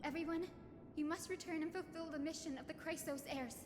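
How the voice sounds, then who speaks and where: A woman playing a gentle, selfless young healer in a game, speaking in an earnest, measured and formal way. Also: high-pitched, fairly even intonation, in a large hall, over music, natural voice. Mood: solemn, resolute. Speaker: game character voice actor. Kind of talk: scripted game dialogue.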